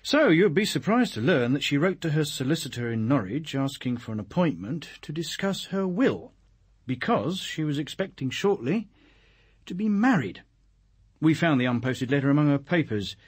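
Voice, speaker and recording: An actor playing a dogged chief inspector in a radio drama, polite but probing. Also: male voice, fairly high pitch, very animated, moderate pace, breathy edge. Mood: pressing. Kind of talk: British radio drama acting.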